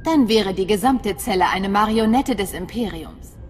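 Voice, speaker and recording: Eine Schauspielerin als kühle, selbstbewusste Geheimagentin in einem Videospiel, knapp und selbstsicher im Ton. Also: female voice, medium pitch, normal speed, lightly acted. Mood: determined. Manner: self-assured, clipped. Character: cool, confident female undercover imperial agent. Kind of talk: dramatic video game dialogue in German. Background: background music.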